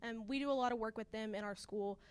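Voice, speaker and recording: A woman's voice: fairly high pitch, fast talker, clear, resonant tone. A teenage girl presenting a student project and answering judges' questions, earnest and sprinkled with ums. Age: teens.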